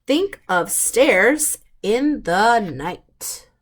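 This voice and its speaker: Lady doing kids storytime, higher voice, slow reading, simple emphatic phrases. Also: animated. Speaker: storytime host reading to children. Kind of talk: picture book read-aloud with questions to listeners.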